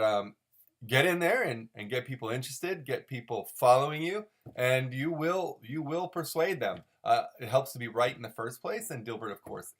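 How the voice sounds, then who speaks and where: A man speaks in a medium-pitched voice, giving rambling, unscripted commentary as a solo host. He treats things with mock seriousness, overanalyzing as he goes and repeating phrases to drive them home. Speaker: host of a comic-strip commentary show.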